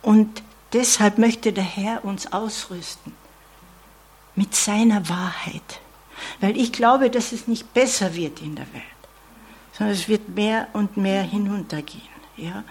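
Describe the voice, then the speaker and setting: A woman delivers a sermon at a slow, deliberate pace, checking in with her listeners through small "ja?" asides. Her voice is breathy and soft-edged, and it often falls to a near whisper before rising again with emphasis. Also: medium pitch. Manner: earnest, with frequent "ja?" asides and dropped whispers. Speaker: preacher.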